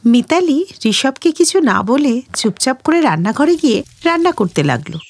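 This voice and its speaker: High-pitched, animated woman storyteller. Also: moderate pace, a little hiss or hum. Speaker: story narrator. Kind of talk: scripted children's moral story narration.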